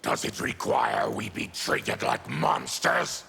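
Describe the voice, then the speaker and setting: An actor voicing a bitter, proud monstrous male outcast in a video game, with a gravelly growl. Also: mid-range voice, very animated, slow, sharp tone, full character voice. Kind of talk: video game character dialogue.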